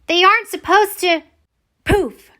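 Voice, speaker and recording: A woman voicing an exasperated but eager young girl witch in a children's picture book, expressive and exclaiming. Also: extremely high pitch, unhurried, voice pushed up, heavily acted. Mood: frustrated.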